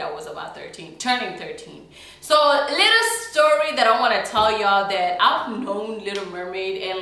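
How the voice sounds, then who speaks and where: A young woman from South Sudan vlogging casually to camera, chatty and animated, swinging between loud emphasis and soft asides. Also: medium pitch. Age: young adult. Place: small indoor room with a plain backdrop. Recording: very echoey room with music playing behind.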